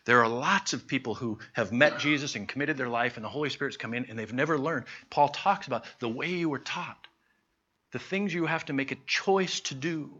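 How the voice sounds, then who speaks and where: A man teaching a Bible class in an earnest, conversational way, slowing down to stress his points. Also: medium pitch, pushed and bright, a little hiss or hum. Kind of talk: sermon-style Bible teaching. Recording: dull lo-fi recording.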